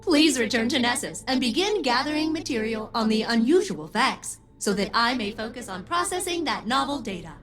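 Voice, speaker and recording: A woman's voice: on the high side, lively intonation, moderate pace, few pauses, over music, natural voice. A woman playing an eager, centuries-old game AI with precise, formal enthusiasm. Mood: eager, polite. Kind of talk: video game character dialogue.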